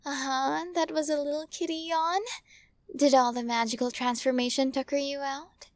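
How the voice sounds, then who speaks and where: A woman playing a doting, possessive witch in an ASMR roleplay, coaxing and teasing softly up close, drifting into breathy whispers. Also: sits high, flat delivery, moderate pace, dry recording. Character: possessive, doting yandere witch, sweetly menacing and smug. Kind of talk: ASMR roleplay, intimate acting to listener. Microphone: close studio mic, dry.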